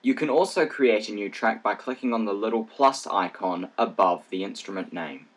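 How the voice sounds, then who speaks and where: A man presenting a software tutorial in a step-by-step narration. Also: on the low side, moderate pace. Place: indoor voice-over for screen recording.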